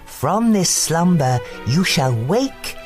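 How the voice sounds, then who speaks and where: A woman's voice: deep voice, very animated, takes it slowly, heavily acted. A woman voicing a small, feisty good fairy in a storybook reading, emphatic, with music behind. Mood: solemn.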